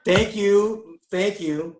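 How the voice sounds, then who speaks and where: A man's voice: high-pitched, drawn-out words, roomy sound. A man guest-hosting a live online talk show, upbeat and loose, with many fillers. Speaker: guest host.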